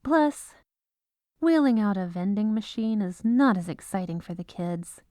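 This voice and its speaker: A woman's voice: medium pitch, animated, unhurried, dark tone, dry recording, clean studio sound, lightly acted. A woman playing an exasperated, businesslike head lawyer at the North Pole in a scripted comedic audio roleplay. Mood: brighter, earnest. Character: exasperated, dutiful head legal advisor at Santa's North Pole.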